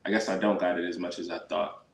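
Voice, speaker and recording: A man's voice: sits low, level tone, fast. A man livestreaming a casual, slangy reaction as host, often sounding incredulous. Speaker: livestream host reacting to his own dating-show episode. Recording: roomy livestream audio with echo.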